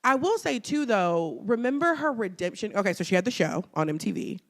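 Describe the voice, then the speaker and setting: Lively, slangy woman podcast co-host. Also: medium pitch. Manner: slangy, playful, quick to laugh. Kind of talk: casual pop culture chat.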